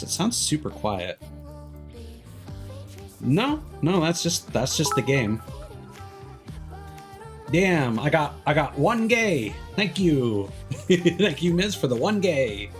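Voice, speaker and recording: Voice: medium pitch, very animated, takes it slowly, long pauses, soft background music. A video game streamer giving casual, analytical commentary, rambling and often trailing off mid-thought. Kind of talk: casual livestream commentary while gaming.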